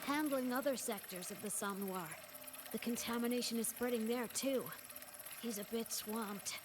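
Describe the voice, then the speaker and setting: Woman acting a high-voiced young female guide. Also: moderate pace, background noise, natural voice. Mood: explaining, concerned. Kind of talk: video game voice acting. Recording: game music behind the voice. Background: game music.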